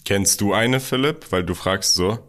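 Tiefe Männerstimme im lockeren Podcast. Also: male voice, on the low side, a little slow. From Germany. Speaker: podcast co-host. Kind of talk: casual podcast conversation.

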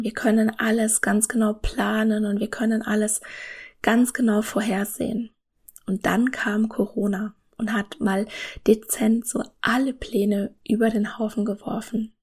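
A woman's voice: fairly high pitch, level tone, unhurried, breathy edge. A woman in her thirties hosting a solo podcast, talking earnestly and enthusiastically straight to the listener. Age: 30s. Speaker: podcast host.

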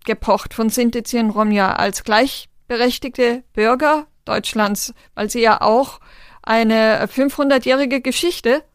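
A woman answers interview questions in an earnest, measured way, pausing with small fillers as she gathers her thoughts. Her voice sits a little high and sounds smooth and clear. The recording is dry and close. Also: no echo. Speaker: interview guest.

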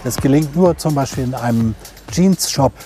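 A man's voice: medium pitch, moderate pace, music in the background. A man speaking as an expert, explaining in a conversational style.

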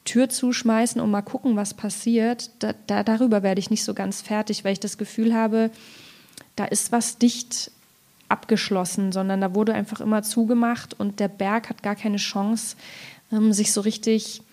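A woman's voice: medium pitch, flat delivery, moderate pace, dark tone. A woman from Thuringia, a writer, reflecting tentatively on her work in a literary discussion and searching for her words. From Thuringia, Germany. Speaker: poet and writer.

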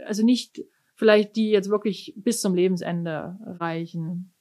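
A woman's voice: medium pitch, unhurried, darkish tone. A middle-aged woman from eastern Germany, a cellist being interviewed, speaking in a thoughtful way with many fillers and restarts. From East Germany. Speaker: orchestra cellist, interview guest. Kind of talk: casual conversational interview.

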